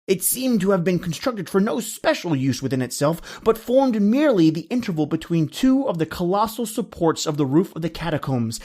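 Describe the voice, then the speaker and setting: A man narrating a gothic horror story from a script in a measured, literary manner. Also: a little high, darkish tone.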